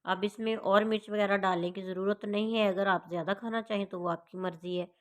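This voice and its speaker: A woman's voice: mid-range voice, fairly even intonation, fairly quick. Woman with a sore throat, teaching. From Pakistan. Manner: instructional, addresses viewers directly. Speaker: home cooking channel host. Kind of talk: casual step-by-step recipe narration.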